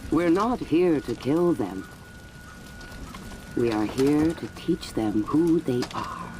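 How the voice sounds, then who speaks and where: A cold, scheming warlord. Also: male voice, a little high, lively intonation, slow pace, noisy background. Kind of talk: video game cutscene acting.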